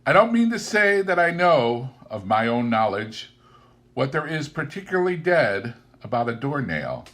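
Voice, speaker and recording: A man reading a classic story aloud as a narrator, with deliberate, theatrical and emphatic phrasing. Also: mid-range voice, very animated, a bit bright. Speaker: audiobook narrator.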